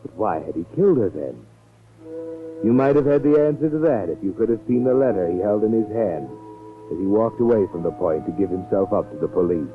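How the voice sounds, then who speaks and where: A man's voice: lowish voice, animated, fairly quick, close to an everyday voice. A man playing a sardonic, ominous, all-knowing narrator of a 1940s radio mystery, taunting the culprit directly. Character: the Whistler, sardonic omniscient man narrating crime tales. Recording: tinny 1940s radio recording, music behind. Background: dramatic music.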